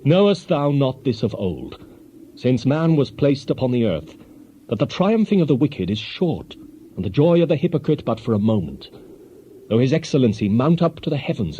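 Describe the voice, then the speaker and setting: A man's voice: medium pitch, mellow, close to an everyday voice. Stern, declamatory ancient man, played by a man, muffled. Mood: indignant. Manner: solemn, declamatory. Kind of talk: dramatized scripture reading. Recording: dull lo-fi audio with faint noise.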